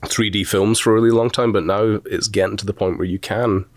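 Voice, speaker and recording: Scottish man, middle-aged, low voice, self-deprecating storyteller, dry close recording. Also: steady tone, a little fast, no echo. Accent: Scottish, softened toward American. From Scotland. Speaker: animation instructor. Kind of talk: relaxed conversational interview.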